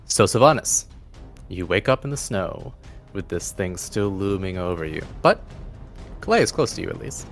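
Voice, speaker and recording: A man with a low voice runs a tabletop game as game master. He tells the action dramatically, with faint music playing underneath. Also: sing-song, normal speed.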